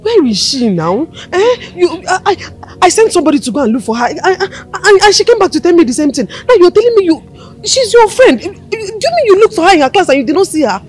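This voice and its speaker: A woman's voice: on the high side, big pitch swings, moderate pace. An actress playing a frantic mother pleading for her missing daughter, crying out to God. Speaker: worried mother. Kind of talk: film drama acting.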